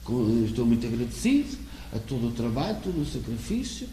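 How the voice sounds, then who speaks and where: A man from Portugal with a European Portuguese accent, preaching an earnest sermon in a halting way, often repeating words as he searches for the next one. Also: medium pitch, very animated, mellow. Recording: echoey room with faint hum.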